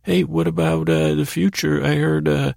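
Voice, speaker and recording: A man voicing a pesky intrusive thought that pops up at bedtime, nagging with halting, insistent questions. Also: a little high, little pitch movement, creaky, darkish tone, dry recording, clean studio sound, pitched above the performer's own voice.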